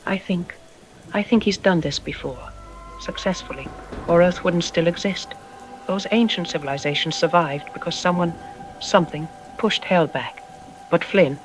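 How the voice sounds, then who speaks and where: A man playing a troubled archaeology expert in an apocalyptic audio drama news interview, speaking gravely and deliberately with ominous pauses. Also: on the high side, soft background music, lightly acted, rough recording. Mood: solemn.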